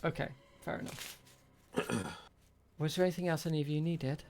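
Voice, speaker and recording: A game master with a creaky voice and a hesitant delivery, improvising tabletop roleplay as a shopkeeper. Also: mid-range voice, moderate pace, darkish tone, a little hiss or hum.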